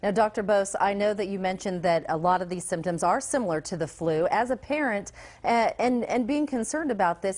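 A woman's voice: medium pitch, a little mellow. Woman news anchor with mid-range voice. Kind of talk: live news interview. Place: TV news studio.

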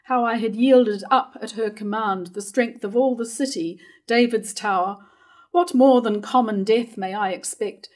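A woman's voice: medium pitch, a little mellow, natural voice. A woman in her fifties reading the part of a doomed, devoted male counsellor in a staged verse tragedy. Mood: agitated. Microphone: lectern microphone.

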